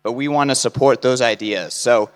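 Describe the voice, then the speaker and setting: Brief: young male keynote speaker, smooth clear voice, earnest pitch to a crowd, big hall echo. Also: moderate pace, faint music behind. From United States. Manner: earnest, persuasive, lists points in short phrases. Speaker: conference speaker. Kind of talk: conference keynote talk. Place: large conference hall. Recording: hall mic with long reverb.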